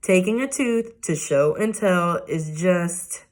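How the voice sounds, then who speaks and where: A firm, disapproving storybook mother , voiced by a woman reading aloud, who leans hard on key words for emphasis. The voice sits fairly low for a woman. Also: slow, a little mellow. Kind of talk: children's book read aloud.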